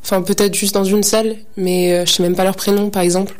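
A seventeen-year-old girl talking in an interview, with a voice of middling pitch. She hesitates often, dropping fillers as she works out what she wants to say. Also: flat delivery, quick pace, darkish tone. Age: teens. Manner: hesitant, searching, many fillers. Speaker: high school student interviewee. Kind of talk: reflective testimony.

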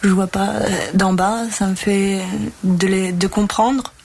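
A woman's voice: medium pitch, steady tone, unhurried, a little mellow, a little hiss or hum. A woman in her twenties, a first-year trainee shepherdess, talking hesitantly with many fillers in casual conversation. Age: 20s.

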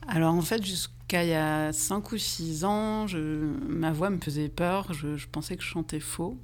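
A woman in her thirties, a musician guest in a radio interview, talking thoughtfully with many pauses, fillers and restarts. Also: fairly low pitch, slight background noise. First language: French. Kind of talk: radio interview, casual chat.

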